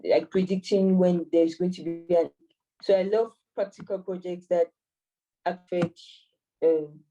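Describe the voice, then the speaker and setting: A woman's voice: fairly low pitch, steady tone, a little slow, mellow, distant mic, lo-fi sound. A woman in her twenties from Cameroon, an AI mentor answering interview questions hesitantly with many fillers and restarts. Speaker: AI mentor and master's student.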